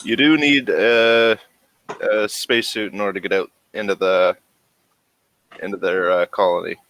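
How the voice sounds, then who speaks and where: A man acting as game master, narrating a tabletop game casually with hesitant pauses as he describes scenes. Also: on the low side, fairly even intonation, fairly bright tone, no room sound.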